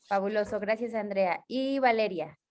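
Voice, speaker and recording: A Mexican woman hosting a workshop over a video call, upbeat and brisk as she welcomes people and hands over. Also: medium pitch, a little mellow. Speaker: workshop host. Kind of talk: moderating.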